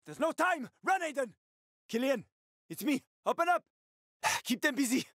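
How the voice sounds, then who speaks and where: A man's voice: very high-pitched, big pitch swings, normal speed, fairly bright tone, no room sound, clean studio sound, heavily acted. A male actor voicing a wry, streetwise survivor guide and ex-soldier in a video game, with colloquial, foul-mouthed talk. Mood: urgent, desperate. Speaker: video game companion character.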